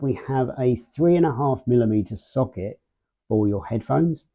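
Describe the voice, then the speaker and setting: A man hosting a casual product unboxing and walkthrough, speaking in short, measured phrases with pauses mid-sentence. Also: fairly low pitch. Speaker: video host, product reviewer. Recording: muffled, phone-like narrow band sound.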